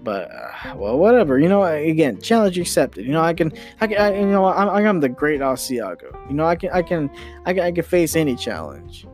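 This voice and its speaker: A man's voice: on the high side, big pitch swings, a little fast, a little mellow, faint music behind. A young man hosting a solo podcast, ranting casually with many restarts and mild swearing. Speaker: podcast host.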